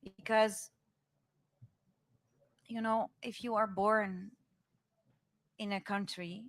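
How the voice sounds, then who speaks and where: A woman's voice: mid-range voice, steady tone, extremely slow. A Dutch-born woman poet whose first languages are Dutch and Moroccan Arabic, speaking on a panel. She talks thoughtfully and moves between English and Moroccan Arabic as she tells her stories. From Netherlands. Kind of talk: panel discussion.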